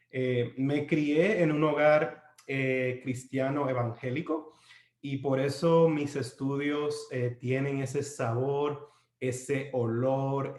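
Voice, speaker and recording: A man's voice: mid-range voice, darkish tone. A Dominican man raised in the United States, with a Dominican Spanish accent shaped by English, leads a live-streamed Bible study from his home. He speaks unhurriedly and hesitantly, with many "eh" fillers and restarts, and ends points with a questioning "¿no?". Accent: Dominican Spanish with US English influence. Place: at home near the kitchen. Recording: roomy home kitchen echo.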